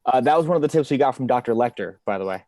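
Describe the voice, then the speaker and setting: Guy doing tired sarcastic fbi investigator, talks fast, dry close sound. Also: mid-range voice, expressive, darkish tone, dry recording, close to an everyday voice. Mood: dry, provoking. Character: weary, sardonic male FBI investigator. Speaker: amateur actor in an online table read. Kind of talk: screenplay table read. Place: remote video call.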